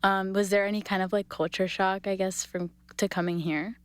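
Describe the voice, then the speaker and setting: Student host, young woman, upbeat, dry close mic, reads intros brightly. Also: medium pitch, flat delivery, normal speed, clean tone, no echo. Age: young adult. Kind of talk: podcast hosting.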